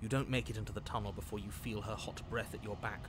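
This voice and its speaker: A male actor playing a grave, ominous narrator of a horror game with measured, literary delivery. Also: on the low side, fairly even intonation, noisy background, close to an everyday voice. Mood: ominous, tense. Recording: music behind the voice.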